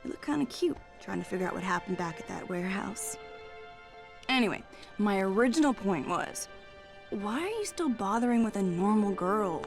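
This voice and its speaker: Give me a playful flirty thief with a gravelly, lively voice. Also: female voice, mid-range voice, moderate pace, music in the background. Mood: teasing. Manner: teasing, playful, sly. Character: teasing flirty female thief.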